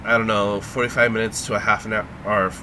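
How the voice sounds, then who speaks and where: A man vlogging in a casual, rambling way, halting with lots of ums and sounding a little congested. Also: lowish voice, level tone, background noise. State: congested, feeling sick.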